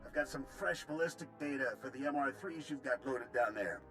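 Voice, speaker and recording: An actor playing a breezy, folksy military gunnery officer in a video game, rattling off technical jargon. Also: male voice, mid-range voice, fairly quick, a bit bright, lightly acted, poor audio. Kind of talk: video game cutscene acting. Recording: game soundtrack music behind.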